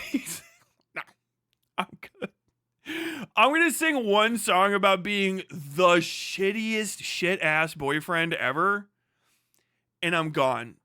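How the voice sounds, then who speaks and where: High-voiced man ranting casually on a livestream. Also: lively intonation, slow, long pauses, bright, forceful tone, clean tone, no echo, clean studio sound. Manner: sweary, joking, exaggerated rants. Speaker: livestream host. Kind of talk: casual rambling livestream chat.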